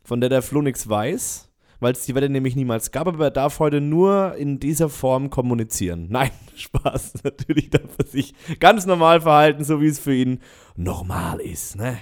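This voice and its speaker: A man's voice: mid-range voice, animated, normal speed. A young man, a student, co-hosting a casual podcast with dry, teasing remarks. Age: young adult. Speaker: podcast co-host. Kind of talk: casual chat.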